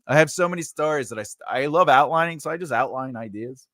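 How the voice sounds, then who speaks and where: A man's voice: fairly high pitch, fast, a bit bright, no echo, studio quality. A man in his forties, a writing teacher and editor, chatting casually and rambling with laughs during a live Q&A. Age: 40s.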